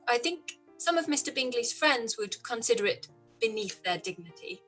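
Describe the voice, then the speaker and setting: A woman plays an outspoken young gentlewoman in a period radio drama. Her voice is high and bright with very animated intonation. She is candid and expressive. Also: normal speed, soft background music. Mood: polite, amused. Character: spirited, outspoken young gentlewoman, quick to judge. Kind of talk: live-read period radio play acting.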